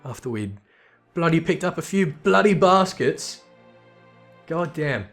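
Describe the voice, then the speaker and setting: A man's voice: a little high, lively intonation, a little slow, fairly bright tone. A man giving casual, sweary gameplay commentary, reacting aloud in an irreverent way. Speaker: let's player reading game dialogue aloud. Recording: music behind the voice. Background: game music.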